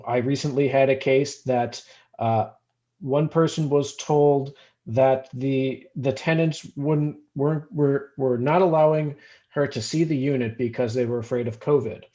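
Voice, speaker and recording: A man explaining his work as a panelist, speaking haltingly and stumbling over words. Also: mid-range voice, steady tone, dark tone. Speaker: fair housing investigator on a panel. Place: online video call. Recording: slightly dull video-call audio.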